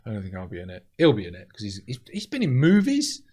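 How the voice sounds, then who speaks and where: A man talking in casual chat as a comedian guest, deadpan and blunt, swearing freely as he tells stories. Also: fairly low pitch, fast talker.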